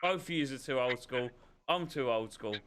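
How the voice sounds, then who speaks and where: A man's voice: medium pitch, moderate pace, background noise. A man co-hosting a podcast, chatting casually and swearing freely with a laugh. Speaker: podcast co-host. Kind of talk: casual pub-style chat.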